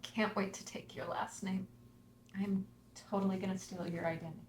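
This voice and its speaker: An actress reading the part of Maggie, a witty, loving young wife, in a screenplay table read. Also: female voice, medium pitch, fairly even intonation, moderate pace, darkish tone, roomy sound, slight background noise, lightly acted.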